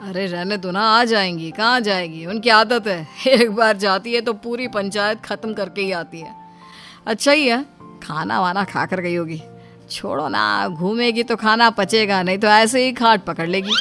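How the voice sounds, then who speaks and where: A young man of about thirty from a cartoon who works and studies and calmly reasons with his family, voiced by a woman. His voice sits at a plain mid pitch. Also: unhurried, soft background music. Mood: dismissive. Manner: patient, reasoning. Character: dutiful working son around thirty. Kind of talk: cartoon voice acting.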